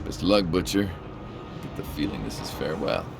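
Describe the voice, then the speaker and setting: Deep, gravelly underworld fixer. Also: male voice, big pitch swings, normal speed, noisy background, exaggerated voice. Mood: sly, genial. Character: shady, genial male underworld fixer. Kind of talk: dramatic video game acting. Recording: music behind the voice.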